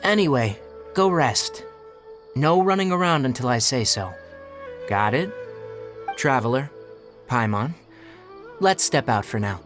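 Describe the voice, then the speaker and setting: An actor playing a sensible young forest watcher and healer, firm and matter-of-fact, in a video game. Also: male voice, medium pitch, expressive, unhurried, pauses often, fairly bright tone, faint music behind. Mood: serious, matter-of-fact.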